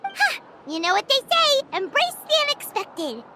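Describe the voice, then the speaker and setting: A woman voicing a tiny, excitable, childlike fairy companion in an anime-style video game, bubbly and full of exclamations. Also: very high voice, a little slow, very noisy, exaggerated voice. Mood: excited. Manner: bubbly, exclaiming, refers to herself in third person. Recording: background music under the voice.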